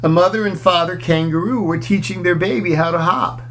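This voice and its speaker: A man's voice: high voice, brisk, fairly bright tone. A man in his sixties reading a children's storybook aloud, adding simple, casual explanatory asides as if to young children. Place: small furnished room indoors. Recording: clear background noise.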